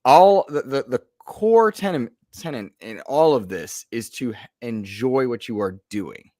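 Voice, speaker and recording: A man co-hosting a gaming podcast in a casual, animated chat with emphatic asides. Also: medium pitch, measured pace, dry recording, studio quality. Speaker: podcast co-host.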